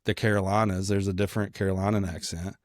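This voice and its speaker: A man's voice: low-pitched, little pitch movement, fast, no echo, clean studio sound. A man from Kentucky with a mild Southern American accent, chatting casually as a podcast co-host in a rambling, joking, self-deprecating way. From Kentucky, United States.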